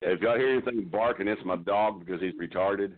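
A man's voice: deep voice, fairly quick, background noise. A man calling in as a guest on a casual talk show, joking brashly and crudely. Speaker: phoned-in guest. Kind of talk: casual chat. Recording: phone-like line.

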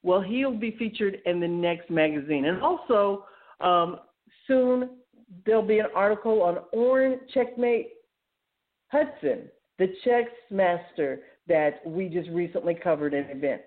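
A woman's voice: on the low side, a little slow. A woman hosting a show, talking informally and enthusiastically as she walks listeners through announcements in a conversational way. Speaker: radio show host. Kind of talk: informal solo talk, announcing articles and events. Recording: thin, phone-like narrow-band sound.

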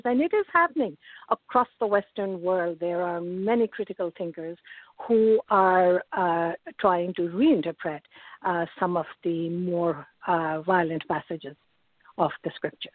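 A woman guest on a radio talk show, speaking in a measured, earnest way with frequent hesitations. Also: fairly low pitch, no echo. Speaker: guest activist. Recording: tinny phone line.

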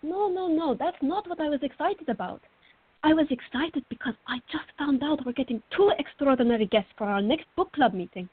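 A woman playing a fiery, excitable teenage art student in a radio drama, with a dramatic way of talking. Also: high-pitched, a little fast, no echo. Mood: excited. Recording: dry, thin phone-like band.

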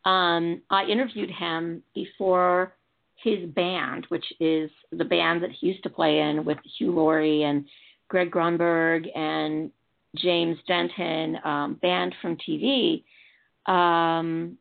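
A woman's voice: on the low side, flat delivery, slow pace, creaky. A woman from Chicago hosting a call-in chat show, telling stories in a chatty, digressive way. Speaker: show host. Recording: phone-like narrow sound.

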